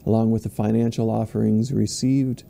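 A man in his forties, a minister leading online worship from a home office, reading prayers in a slow, measured way into a webcam. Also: fairly low pitch, little pitch movement, creaky, warm tone, a little hiss or hum. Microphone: webcam at desk, video call framing.

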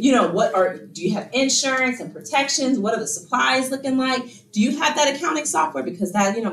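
A woman's voice: mid-range voice, reverberant, a little hiss or hum. A woman presenting a workshop in a meeting room in a conversational way, full of rhetorical questions and fillers, recorded from far off. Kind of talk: casual workshop lecture. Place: meeting room, in front of a screen. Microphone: far room camera.

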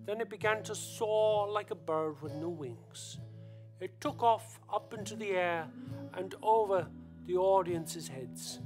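A man reciting scripted narration for a radio play in theatrical, measured phrases. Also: high-pitched. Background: music.

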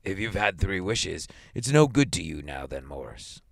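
A man voicing a mild, curious old father in a story, speaking in a slow, musing way. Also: sits low, sing-song, gravelly, fairly bright tone, dry recording, studio quality, exaggerated voice. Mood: keen curiosity.

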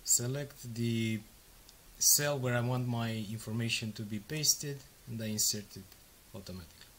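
A man in his thirties, a native Romanian speaker, casually walking through a software tutorial step by step with frequent ums and uhs. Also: mid-range voice, moderate pace, a little hiss or hum. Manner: casual, explains step by step with fillers. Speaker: software demo presenter. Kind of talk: screen-recorded tutorial walkthrough.

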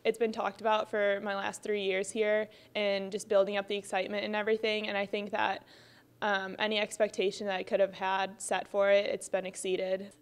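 A college softball player in her twenties, speaking sincerely in a measured way into a handheld mic. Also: mid-range voice, flat delivery, smooth. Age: 20s. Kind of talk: interview soundbite.

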